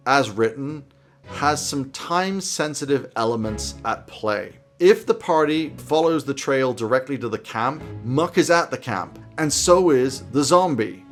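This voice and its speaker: A man in his thirties giving a conversational explainer to camera as a host, with an animated, casual, dryly humorous manner. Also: medium pitch. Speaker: tabletop roleplaying game advice host. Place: small home office, indoors.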